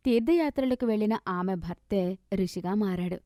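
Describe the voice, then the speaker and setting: A woman narrating a scripted moral story as an expressive, dramatic storyteller. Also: medium pitch, moderate pace, dry recording, studio quality.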